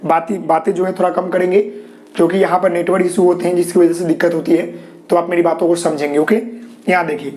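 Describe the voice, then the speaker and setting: A man's voice: high voice, a little mellow. A man from Bihar with a Bihari Hindi accent and a sore throat, teaching a live online class, with many fillers and reassuring asides to viewers. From Bihar, India. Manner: repetitive fillers, frequent "ji" and "okay"; reassuring, tells viewers not to worry.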